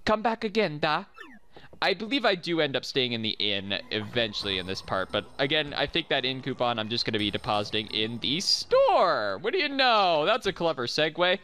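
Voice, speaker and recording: A man's voice: medium pitch, sing-song, fairly quick, few pauses, pushed and bright, smooth. A young man giving chatty, self-deprecating commentary over recorded gameplay. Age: young adult. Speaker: let's play commentator.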